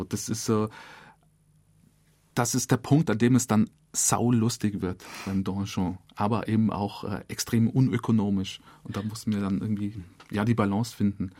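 Man, lowish voice, interview guest, colloquial explaining, piled-up clauses, dry studio. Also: dark tone, no room sound. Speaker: radio drama director, interview guest.

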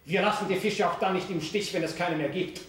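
A woman's voice: lowish voice, brisk, lightly acted. A woman playing a solemn, stubbornly loyal club member in a declamatory stage style. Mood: solemn pledge. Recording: very echoey stage recording.

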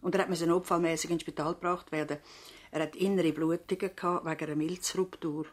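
An actress playing a long-serving practice assistant and housekeeper in a radio drama, conversational, polite and plain-spoken, with a Swiss German accent. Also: female voice, on the low side, fairly even intonation, brisk, lightly acted.

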